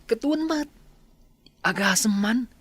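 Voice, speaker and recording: A woman's voice: on the high side, lively intonation, slow, husky, no room sound, heavily acted. A woman with an Ilocano accent playing a teasing, nosy village neighbor in a radio drama.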